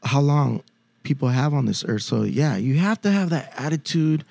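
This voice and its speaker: A middle-aged musician guest on a podcast, talking in a relaxed, rambling way with many fillers. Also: male voice, fairly high pitch, moderate pace, creaky, dry recording. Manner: laid-back, rambling, many likes and restarts. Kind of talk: casual chat. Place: podcast studio, indoors. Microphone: studio mic, playing acoustic guitar. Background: acoustic guitar.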